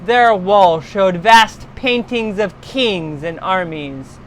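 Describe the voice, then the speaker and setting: A woman reading a literary horror story aloud in a solemn, deliberate, dramatic storytelling style. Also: mid-range voice, slight background noise, low-quality recording.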